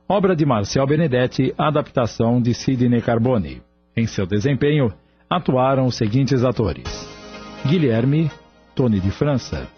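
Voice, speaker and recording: A man with a mid-pitched voice and a Brazilian accent makes formal radio announcements. His delivery swings widely in pitch. The recording sounds dull, with faint music underneath. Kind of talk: formal scripted credits announcement. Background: soft background music.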